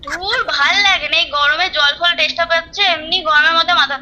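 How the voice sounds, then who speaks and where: A woman's voice: extremely high pitch, steady tone, a little fast, sharp tone. A woman on a phone call, irritated and complaining as she is pranked. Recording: phone line with background noise.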